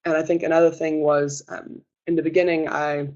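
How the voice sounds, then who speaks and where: A young adult gives a webinar presentation as a student presenter, talking in a reassuring way with many hesitant filler pauses. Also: mid-range voice, flat delivery, a little mellow, dull audio.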